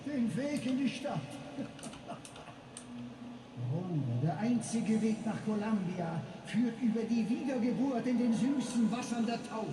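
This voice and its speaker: A man's voice: extremely high pitch, animated, a little slow, background noise. An actor playing a fervent male preacher who baptizes newcomers, speaking in a solemn, preaching manner. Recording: roomy and distant in-game audio. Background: game music.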